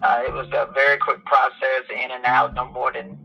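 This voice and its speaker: Reflective man in his fifties, gravelly voice. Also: medium pitch, monotone, highs cut off, faint music behind. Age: 50s. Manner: measured and reflective. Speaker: voter interviewee. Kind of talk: recorded testimonial.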